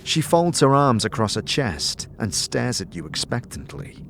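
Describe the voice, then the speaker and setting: A man narrating a scripted fantasy story in a measured, dramatic way, addressing the listener as "you". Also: medium pitch, very animated, creaky.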